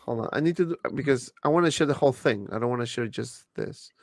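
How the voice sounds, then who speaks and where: A man's voice: mid-range voice, fast talker, no room sound, studio quality. A man hosting a casual livestream, laughing often as he reads out viewer super chats. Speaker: livestream host.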